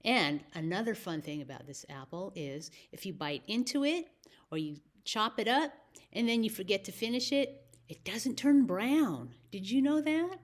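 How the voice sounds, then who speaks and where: A woman with an American accent hosting a children's story time, speaking deliberately as if to young listeners. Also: mid-range voice, expressive. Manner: slow, deliberate phrasing with questions to young listeners. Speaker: library story time host.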